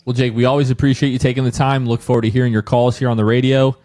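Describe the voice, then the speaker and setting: A man's voice: mid-range voice, little pitch movement, very fast, warm tone. An American man hosting a sports podcast in a casual, chatty style.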